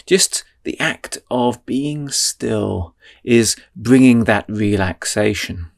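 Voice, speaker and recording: Slow, soothing male meditation guide. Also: medium pitch, creaky. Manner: slow, soothing, drawn-out phrasing.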